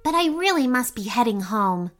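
A woman's voice: on the high side, sing-song, normal speed, fairly bright tone, soft background music. A woman voicing a kind-hearted, curious human girl with an eager, helpful manner in a storybook. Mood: polite.

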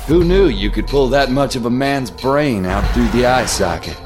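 An actor playing a gleefully sadistic, casual killer in a horror radio drama. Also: male voice, mid-range voice, lively intonation, normal speed, over music, close to an everyday voice. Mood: sadistic glee.

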